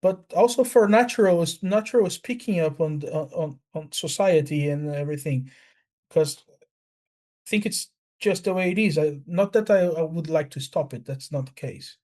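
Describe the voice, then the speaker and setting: A man with a notably high voice and an Italian accent, chatting casually as a native speaker of Italian and Portuguese. He talks in a halting, repetitive way and is blunt about it. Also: a little mellow. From Brazil. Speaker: language learner. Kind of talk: casual chat.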